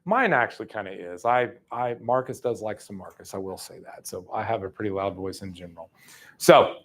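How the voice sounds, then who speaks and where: Man, 30s, sales trainer, casual chatty delivery to a room. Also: mid-range voice. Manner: lively, casual, chatty, many fillers. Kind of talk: live training talk.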